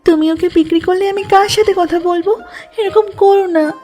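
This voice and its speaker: Woman doing a tiny high village girl, tearful n begging, cartoon story, music behind. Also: steady tone, moderate pace, mellow. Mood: tearful pleading. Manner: tearful, imploring delivery. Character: pleading, tender-hearted young village girl. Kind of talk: Bengali moral-story cartoon voice acting.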